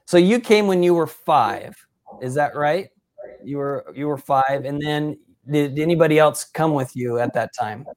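A man's voice: a little high, a little slow, a little mellow. A man hosting a casual sports interview, upbeat and effusive in his praise of his guest. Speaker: interview host.

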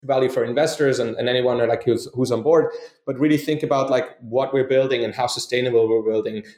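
A man's voice: medium pitch, steady tone, brisk, darkish tone. Rambling Swiss man in an echoey room. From Switzerland. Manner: rambling, many fillers and restarts. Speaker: guest, company co-founder. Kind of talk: casual podcast interview answers.

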